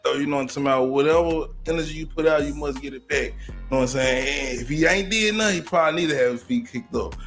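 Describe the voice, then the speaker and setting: A man's voice: on the high side, creaky, a bit bright. A man giving casual street-style commentary in slang, often checking that the listener follows. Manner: slangy, frequent verbal tags.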